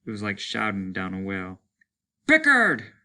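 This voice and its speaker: A man's voice: on the low side, fairly even intonation, normal speed, vocal fry, pushed and bright. A man reading fiction aloud as a teacher, in a dramatic narrator's style. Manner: acts out dialogue with raised voices.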